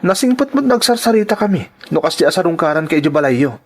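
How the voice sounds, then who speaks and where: A man's voice: a little high, sing-song, fast. A man with an Ilocano accent playing an earnest, insistent young boyfriend in an audio drama.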